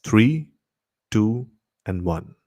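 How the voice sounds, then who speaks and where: Low, mellow Indian man hosting. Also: lively intonation, extremely slow, dry recording, clean studio sound. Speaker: radio show host. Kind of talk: casual show introduction.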